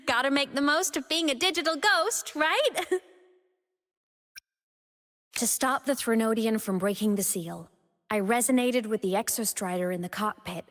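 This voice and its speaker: A ghost student girl from a video game, highish soft voice, cheerful but wistful, big echoey tail on it. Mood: bright, eager, then intense, resolute. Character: young female student turned digital ghost, cheerful yet wistful, devoted. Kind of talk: scripted video game character monologue. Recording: long reverb on the voice.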